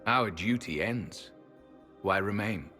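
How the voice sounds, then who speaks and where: Ancient elf sentinel, very low pitch, hard-edged tone, grave, terse, bitter, fantasy game drama, music underneath. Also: male voice, slow, pushed and bright. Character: ancient, solemn, bitter male elf temple guardian.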